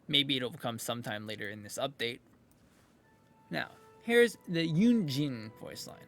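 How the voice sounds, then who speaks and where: A man's voice: mid-range voice, sing-song, a little slow, a bit bright, soft background music. A man hosting a video in a casual, rambling, informal reaction commentary style. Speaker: video host commenting on game voice lines.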